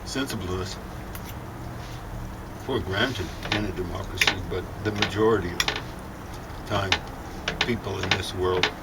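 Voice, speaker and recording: A man's voice: deep voice, unhurried, long pauses. A man musing casually in conversation, sitting some way from the microphone outdoors on a deck. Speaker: conversation partner off to the side. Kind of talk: casual chat, musing commentary. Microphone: some distance from the microphone. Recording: distant mic, loud outdoor background noise.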